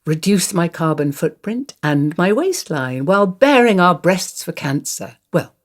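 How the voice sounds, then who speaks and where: A woman's voice: fairly low pitch, big pitch swings, measured pace. An older woman acting a radio play monologue as a wistful, wry grandmother confiding her thoughts. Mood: wry, resolute. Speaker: actor reading a monologue. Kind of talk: radio play monologue, first-person storytelling.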